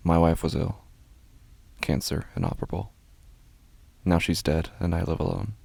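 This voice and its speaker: A man acting as a bereaved, subdued widower in a horror audio drama, speaking in a halting, understated way. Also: low-pitched, vocal fry, husky, dry recording, exaggerated voice. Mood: subdued, grieving. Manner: halting, flat, understated delivery. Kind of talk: scripted horror fiction narration.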